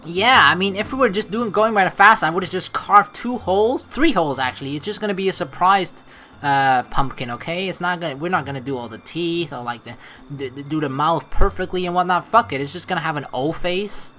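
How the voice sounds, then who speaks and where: A woman's voice: lowish voice, a little fast, pushed and bright. A woman hosting a game playthrough, reading text aloud and commenting in a rambling, casually sweary way. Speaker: let's play host. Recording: game music behind the voice.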